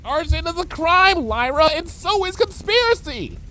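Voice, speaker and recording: A man voicing an anxious, exasperated female pony with a shrill, scolding manner in a story reading. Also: expressive, normal speed, bright, forceful tone, full character voice. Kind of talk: animated fan fiction reading.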